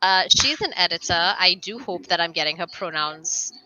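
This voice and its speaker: A woman's voice: on the low side, lively intonation, normal speed, sharp tone, low-quality recording. A woman hosting a casual video-call interview, talking emphatically and laughing often. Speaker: host.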